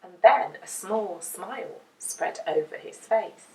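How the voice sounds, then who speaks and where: A woman telling a children's story in an expressive, deliberate way with dramatic emphasis. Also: mid-range voice. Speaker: storyteller reading a children's book. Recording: roomy echo, distant microphone.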